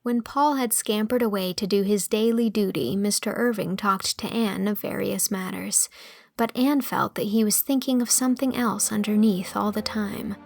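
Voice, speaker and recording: A woman's voice: mid-range voice, moderate pace, vocal fry, no echo. A woman reading a classic novel as scripted storybook narration. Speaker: audiobook narrator. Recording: music under the voice. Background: music.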